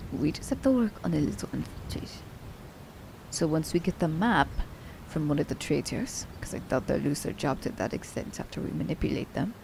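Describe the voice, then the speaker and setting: A woman's voice: low-pitched, creaky, warm tone, slight background noise, poor audio. A woman playing a sly, commanding assassin who teases her captive werewolf in an ASMR roleplay, with a haughty, teasing manner.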